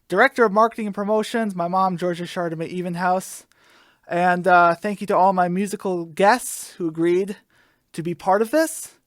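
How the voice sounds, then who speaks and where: A woman's voice: on the low side, no echo, clean studio sound. A woman hosting a radio show, giving a casual sign-off in a hesitant, rambling, ad-libbed way. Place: college radio studio.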